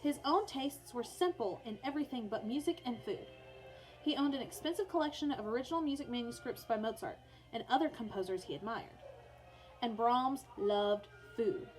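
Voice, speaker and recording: A woman's voice: fairly high pitch, moderate pace, soft background music, low-quality recording. A woman teacher reading a children's biography aloud for online learners, in storybook narration with informal, chatty asides.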